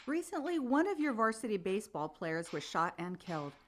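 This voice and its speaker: An actress playing a composed, probing news reporter conducting a formal interview in a radio play. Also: female voice, medium pitch, very animated, brisk.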